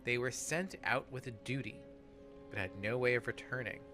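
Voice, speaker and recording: A man's voice: medium pitch, normal speed, bright, forceful tone, soft background music. A man hosting a let's play, giving casual gaming commentary.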